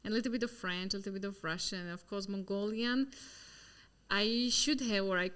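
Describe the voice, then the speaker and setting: A woman's voice: medium pitch, a little fast, fairly bright tone, smooth. A woman, a guest chatting about her work in an unscripted interview, repeating words as she searches for them. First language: Slovak. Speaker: guest, NGO head of mission. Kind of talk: casual interview chat.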